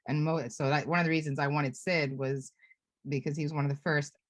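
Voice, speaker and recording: Woman director of Lebanese origin, thirties, low voice, dry close sound, reflective and chatty on a video call. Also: steady tone, fairly quick, dry recording. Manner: chatty, self-reflective, laughs often, many restarts. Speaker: film director being interviewed.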